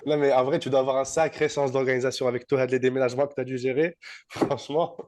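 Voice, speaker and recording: A man hosts a podcast interview in a relaxed conversational register. His voice sits at an average pitch for a male speaker, and he puts his questions in informal, everyday speech. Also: quick pace. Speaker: podcast host. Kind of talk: casual interview chat.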